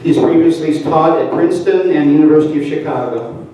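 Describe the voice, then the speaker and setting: A man hosting an event, making announcements and introducing a speaker with many pauses and fillers. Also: fairly high pitch, warm tone, highs cut off. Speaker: event host. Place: church hall. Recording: far, echoey hall.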